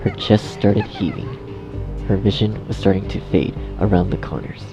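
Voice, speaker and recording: Deep, creaky, dramatic male story narrator. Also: fairly even intonation, normal speed, lo-fi sound. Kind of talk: dramatic scripted narration of horror fan fiction. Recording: faint music behind the voice.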